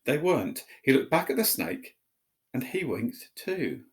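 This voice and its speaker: A man's voice: mid-range voice, sing-song, a little slow. A man telling a story, reading aloud expressively as a narrator.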